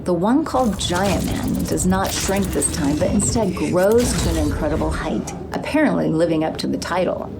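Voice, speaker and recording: A woman's voice: fairly low pitch, expressive, normal speed, few pauses, loud music behind the voice. A woman reading scripted narration as the narrator of an audio drama. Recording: clear background noise, sound effects.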